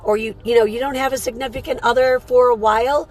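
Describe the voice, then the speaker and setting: A woman with a hoarse voice hosting a casual live morning chat. She reads cards for people, talks chattily and calls her viewers sweetheart. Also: fairly high pitch, steady tone, quick pace. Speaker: host and card reader.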